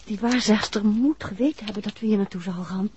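An actress playing a watchful, plucky detective's wife who speaks attentively and cautiously in a 1960s Dutch radio drama. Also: female voice, medium pitch, fast talker, a little mellow, close to an everyday voice. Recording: dull 1960s radio recording, faint noise.

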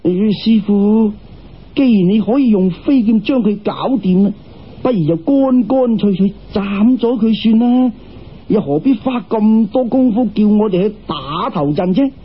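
一个男人用粤语口音扮演顽皮大胆嘅年轻道门徒弟，讲嘢冲口而出，录音发闷。. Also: male voice, high-pitched, animated, unhurried, slightly rough, heavily acted. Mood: cheeky pleading. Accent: Cantonese. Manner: cheeky, brash, challenging. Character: cheeky, bold young disciple of a Taoist master. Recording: dull, muffled radio-style recording.

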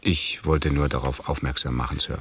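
An actor playing a dutiful, meticulous army lieutenant in a German radio drama, speaking formally and deferentially in a husky voice. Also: male voice, extremely low pitch, fairly even intonation, moderate pace, recorded from a distance. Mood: deferential, earnest.

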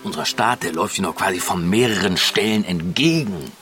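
An actor playing a smug, dismissive husband in a naturalistic radio drama, ironic and placating in manner. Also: male voice, low voice, fairly quick, a bit bright, soft background music. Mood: matter-of-fact. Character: smug, dismissive, mildly mocking middle-class husband.

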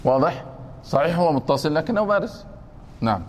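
Man, grammar teacher, fairly high voice, deliberate and repetitive, long hall reverb. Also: animated. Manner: deliberate, repeats points and keeps asking if it is clear. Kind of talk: classroom grammar lesson.

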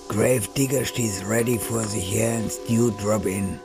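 Crime radio play narrator, deep-ish gravelly voice, detached, loud music under. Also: male voice, fairly even intonation, unhurried. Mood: detached, narrating.

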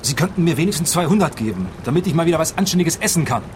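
An actor playing an inept, broke rookie private detective, self-important and pleading, in a comic radio play. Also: male voice, on the high side, lively intonation, racing, natural voice. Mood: whiny, haggling. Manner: whiny, haggling, self-important. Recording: clearly noisy outdoor scene ambience.

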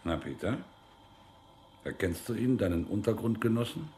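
Menacing, low-voiced captain, swinging delivery. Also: male voice, animated, moderate pace, mellow, slight background noise. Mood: sardonic. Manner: mock-friendly, then sharply commanding. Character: menacing male military interrogator captain, sly and bullying. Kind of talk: radio drama acting.

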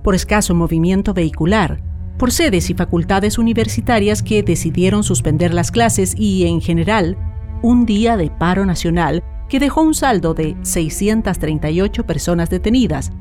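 A woman with a Chilean accent reads a scripted radio documentary in a formal, measured way. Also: fairly low pitch, a little mellow. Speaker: narrator. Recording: music bed behind the voice.

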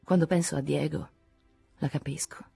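A pragmatic, weary woman played by a female audiobook reader with a husky voice. She reasons firmly, with a brusque edge. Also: sits low, steady tone, normal speed, warm tone, soft background music, exaggerated voice. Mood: subdued. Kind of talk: dramatic audiobook character acting.

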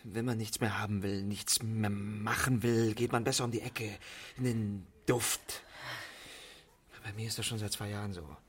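An actor playing a jaded 1920s con man in a German radio play, brooding and halting, in broken phrases. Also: lowish voice, fairly even intonation, fairly bright tone, slightly rough. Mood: weary, confessing.

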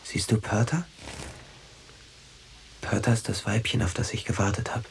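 Dreamy, obsessed teenage boy, earnest and absorbed, gravelly edge, radio drama with slight background noise. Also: on the low side, level tone, normal speed. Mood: dreamy, rapt. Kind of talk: German radio drama acting.

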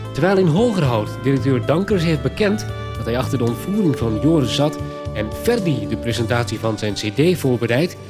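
An actor narrating a recap for a radio soap in a scripted storytelling style. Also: male voice, mid-range voice, natural voice. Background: music.

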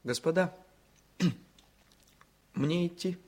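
Husky, nervous young ensign. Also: male voice, high voice, drawn-out words, darkish tone, heavily acted. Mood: eager, nervous. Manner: hesitant, eager. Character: earnest, nervous young ensign new to battery. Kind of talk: Soviet radio drama acting.

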